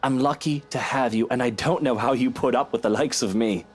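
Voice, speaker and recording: A man's voice: medium pitch, fairly quick, breathy edge, natural voice. A man playing a charming, placating husband in a visual novel game, coaxing and playfully gallant. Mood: tender, apologetic. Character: charming, placating British husband, sweet-talking and evasive.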